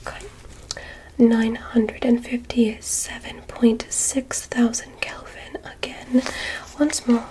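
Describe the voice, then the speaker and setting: A woman voicing a gentle, curious alien doctor in an ASMR medical roleplay, whispering soothing, softly formal instructions. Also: fairly high pitch, little pitch movement, slow, poor audio. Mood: quietly fascinated. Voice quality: breathy whisper.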